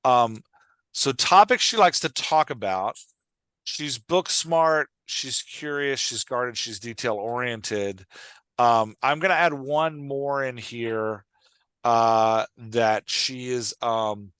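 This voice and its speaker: A man with a bright, forward voice and a mid-range pitch talks like a coach on a podcast. He explains in a conversational, instructive way, thinking aloud and checking for understanding. The sound is close and dry. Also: slow, smooth, no echo. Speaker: podcast host and writing coach.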